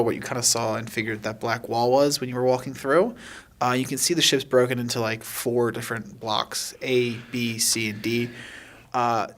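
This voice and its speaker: A man improvising narration as a game master, casual and halting as he thinks aloud. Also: medium pitch. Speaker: game master of a tabletop podcast.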